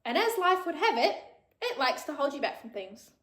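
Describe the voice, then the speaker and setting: A woman's voice: sits high, very animated, moderate pace, fairly bright tone, roomy sound. A woman in her thirties with a New Zealand accent, chatting frankly and casually on her own show, swearing freely. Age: 30s. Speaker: podcast host. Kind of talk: casual solo chat.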